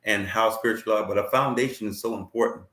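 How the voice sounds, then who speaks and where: Low-voiced male host in an echoey room. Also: steady tone, fairly bright tone. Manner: halting, repeats words while searching. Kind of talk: talk show hosting.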